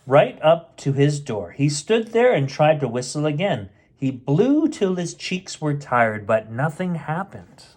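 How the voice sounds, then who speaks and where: Expressive, higher-voiced man reading a bedtime story. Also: unhurried, hardly any pauses. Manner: playful, chatty, child-directed. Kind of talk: reading a picture book aloud with casual asides.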